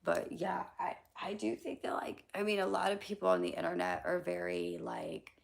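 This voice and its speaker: A young woman chatting casually on a livestream, answering chat questions bluntly with lots of fillers and swearing. Her throat is hoarse and scratchy from allergies, and she often drops into a whisper. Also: on the low side, very animated, normal speed. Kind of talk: casual live-stream chat.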